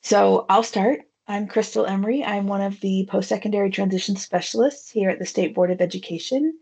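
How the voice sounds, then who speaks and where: A woman's voice: medium pitch, fairly quick, vocal fry, darkish tone, slightly rough. A woman leading an online team meeting, chatty and friendly with frequent fillers, heard over a video call. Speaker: meeting facilitator. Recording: dull lo-fi audio.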